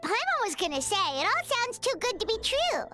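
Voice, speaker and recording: A woman voicing a small, chirpy, excitable fairy companion with a squeaky, childlike voice in a video game. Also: moderate pace. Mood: curious, doubtful. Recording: loud game music behind.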